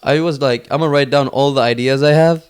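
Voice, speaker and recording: Man, from Finland, smooth clear voice, medium pitch, casual podcast guest, informal filler-heavy phrasing. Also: steady tone, quick pace. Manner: informal, peppers talk with "like". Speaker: podcast guest, young entrepreneur. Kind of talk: casual interview chat.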